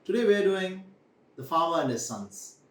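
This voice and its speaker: High, animated young man telling stories. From India. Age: young adult. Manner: deliberate, repeats phrases for emphasis. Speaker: storyteller host. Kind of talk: storytelling for children. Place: small room indoors. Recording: echoey room, distant microphone.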